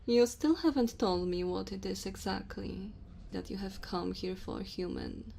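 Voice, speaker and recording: ASMR roleplay casting: commanding, teasing she-dragon in human shape woman acting, unhurried theatrical delivery, faint background ambience. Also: mid-range voice, very animated, creaky, mellow. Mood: curious, amused. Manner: slow, teasing, theatrical, addressing the listener. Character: dominant, teasing female dragon with horns and human looks. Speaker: ASMR voice performer. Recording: faint cave ambience noise. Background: cave and fire sound effects.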